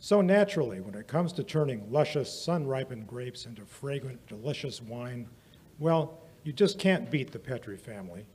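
A man's voice: mid-range voice, lively intonation, moderate pace, a little mellow, husky. A man playing an eager, folksy 1940s radio announcer with a hearty salesman's manner. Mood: hearty selling. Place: small indoor museum studio stage. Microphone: vintage-style stand microphone.

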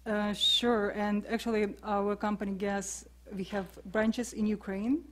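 A woman's voice: medium pitch, little pitch movement. A woman, a panelist answering questions in a briefing room, with frequent fillers. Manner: halting, many fillers.

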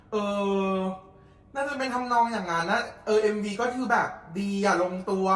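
A woman hosting a reaction video, chatting casually in a gushing, excitable way with little squeals. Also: medium pitch. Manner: gushing, excitable, with frequent squeals and drawn-out particles. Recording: echoey, roomy sound.

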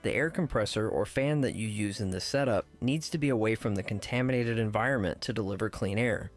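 A man giving scripted voice-over narration for a technical how-to video, in a measured, precise, instructional way. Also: lowish voice, soft background music. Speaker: tutorial narrator.

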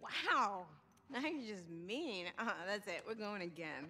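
A woman's voice: medium pitch, very animated, a little slow, sharp tone. A woman playing a confident, teasing teenage girl in a video game, with casual, natural, bantering delivery. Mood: mock annoyed.

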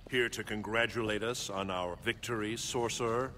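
A stern immortal protector from a game. His low voice is firm and forceful, with measured, formal phrasing and solemn authority. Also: fairly even intonation, a little hiss or hum. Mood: wary disdain. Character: solemn male guardian god, stern wise protector. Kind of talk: video game cutscene acting.

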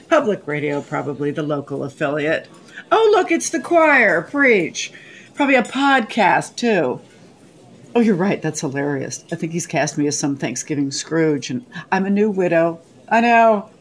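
A woman's voice: on the low side, expressive, moderate pace. Prickly, sardonic older woman. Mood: mocking, exasperated. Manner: dry, curt, sarcastic. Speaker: actress playing a diner. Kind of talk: radio play comedy acting.

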